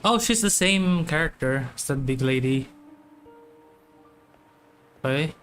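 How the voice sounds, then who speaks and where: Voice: medium pitch, animated, slow, a bit bright, soft background music. A gamer giving casual, offhand gameplay commentary in short reactions. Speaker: gaming video creator.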